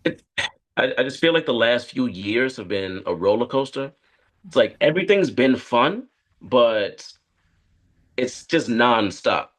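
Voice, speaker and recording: An actor reading a script as an anxious, lonely, career-driven man who confides haltingly to a therapist. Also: mid-range voice, expressive. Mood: wistful, hesitant. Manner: hesitant, halting, confessional. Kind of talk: dramatic script read.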